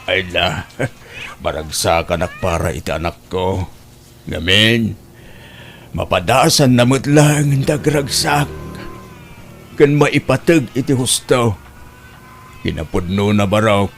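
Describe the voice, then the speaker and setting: A man's voice: lowish voice, slow, long pauses, soft background music. A man playing a devout, kindly old father and grandfather, speaking earnestly in an Ilocano radio drama. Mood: tender, heartfelt. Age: older.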